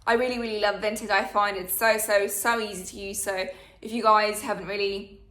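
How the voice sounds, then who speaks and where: A woman's voice: medium pitch, steady tone, moderate pace, fairly bright tone, echoey room. A woman, chatting through a Q&A for her channel with plenty of fillers. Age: 20s. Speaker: vlogger. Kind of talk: casual Q&A chat.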